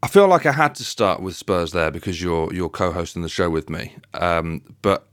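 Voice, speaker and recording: A man's voice: deep voice, lively intonation, normal speed, fairly bright tone, no room sound, studio quality. A man hosting a casual football chat podcast. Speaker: podcast host.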